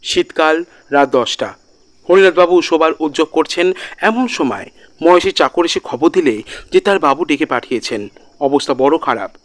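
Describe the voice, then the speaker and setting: A man's voice: fairly high pitch, animated, normal speed. A man narrating a scripted literary audio story as the storyteller. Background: background music.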